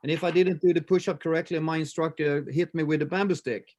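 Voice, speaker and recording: Swedish-accented man in his fifties, slightly raised pitch, halting speech full of fillers; martial arts guest on a video call. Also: fairly even intonation, a little mellow. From Sweden. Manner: halting, many fillers and restarts. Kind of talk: casual interview chat.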